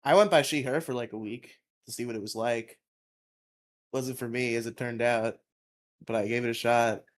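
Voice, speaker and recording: A man with a fairly high voice, co-hosting a podcast in casual chat, laughing often, on a headset mic. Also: fairly even intonation, moderate pace. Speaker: podcast co-host.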